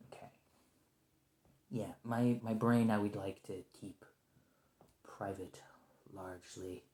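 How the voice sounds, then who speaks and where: A man acting a stressed, weary captive special agent in an ASMR roleplay, rambling, halting and apologetic as he pleads, in a roomy recording. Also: lowish voice, steady tone, a little mellow. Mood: strained, pleading. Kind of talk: ASMR roleplay monologue, improvised conversational acting.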